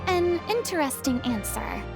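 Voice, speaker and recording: A high-voiced young woman from a video game, speaking over clear background music. She is a dignified former stage star, compassionate and theatrical, and she phrases things formally and eloquently. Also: big pitch swings, measured pace, heavily acted. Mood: reflective.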